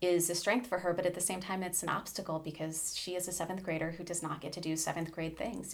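A woman speaking as an educator and guest in a book discussion, explaining things in a measured way. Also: on the low side.

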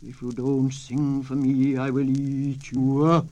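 A woman voicing a sly, hungry male coyote with a barking voice, speaking slowly and loudly. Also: a bass voice, level tone. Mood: menacing.

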